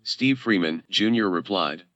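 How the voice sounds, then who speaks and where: A man's voice: sits low, expressive, measured pace, a bit bright, muffled recording, slight background noise. A man doing scripted narration, announcing items in a clipped, formal way.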